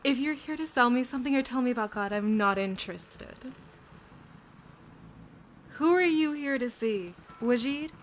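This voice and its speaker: Woman playing an imperious, high-voiced irritable wife. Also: animated, moderate pace, pauses often, slight background noise, close to an everyday voice. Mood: brusque. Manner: curt, haughty, impatient. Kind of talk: audio roleplay acting.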